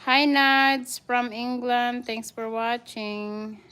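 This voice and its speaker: A woman's voice: on the high side, fairly even intonation, slow, pushed and bright, clean tone. A woman from the Philippines with a Filipino accent, chatting casually as she gives viewers a tour of her house and greets them by name. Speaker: vlogger, mother.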